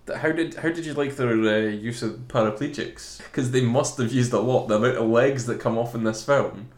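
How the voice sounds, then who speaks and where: A man's voice: medium pitch, brisk, roomy sound. A man co-hosting a casual film commentary chat, joking and laughing often. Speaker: podcast co-host.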